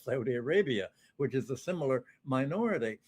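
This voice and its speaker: A man giving spontaneous commentary as an interview guest, in a halting, hesitant way. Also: medium pitch, expressive, a crowd in the background.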